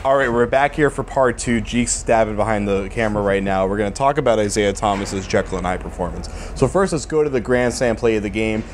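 A man's voice: lowish voice, fairly quick, hardly any pauses, a little hiss or hum. A man hosting a casual sports talk show.

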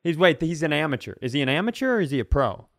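A man's voice: on the high side, sing-song, fast, dry recording, clean studio sound. A man co-hosting a podcast with casual comedic banter, rambling and repeating himself for emphasis. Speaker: podcast co-host.

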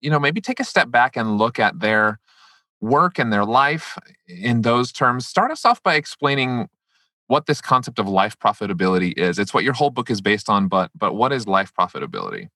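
A man's voice: lowish voice, very animated, moderate pace, pushed and bright, no room sound. A man hosting a podcast, reacting in a friendly way and steering the guest with questions. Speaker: podcast host. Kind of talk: casual podcast interview.

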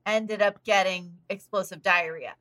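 A woman with a bright, clear voice relays odd news items in a matter-of-fact tone during comedy banter. The sound is close and dry. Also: mid-range voice, steady tone, a little slow, dry recording. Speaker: comedy podcast co-host.

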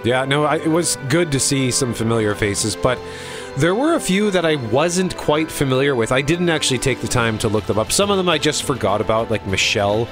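A man co-hosting a podcast in casual conversation, speaking in a halting way as he thinks aloud. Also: medium pitch, expressive. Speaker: podcast co-host. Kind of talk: casual chat about TV. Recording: music behind the talk.

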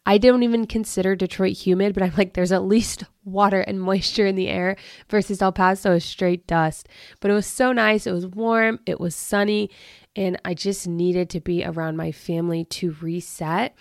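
A young woman hosting her own podcast in upbeat, chatty talk, her voice a bit lower and rougher after allergies early in the morning. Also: fairly even intonation, moderate pace, no echo, studio quality. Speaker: podcast host. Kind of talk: casual solo podcast talk.

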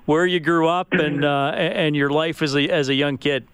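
Smooth-voiced male radio host. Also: fairly high pitch, steady tone, moderate pace, fairly bright tone, no echo. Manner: stammers and repeats words while asking questions. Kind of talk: radio interview.

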